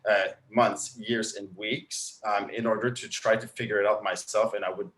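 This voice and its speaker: A French Canadian man in his thirties talks through a laptop webcam on a live video call. His voice sits fairly low, and he tells his story earnestly, with plenty of uhs and ums. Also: fairly even intonation, moderate pace, fairly bright tone, low-quality recording. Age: 30s. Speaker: guest coach. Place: indoors at home, bright room.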